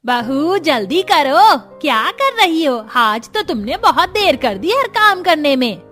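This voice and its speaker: A woman's voice: high voice, sing-song, slow pace, a bit bright, faint music behind, full character voice. A woman voicing a middle-aged, concerned and bossy Indian mother-in-law in a cartoon family story, with exaggerated expression. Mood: impatient.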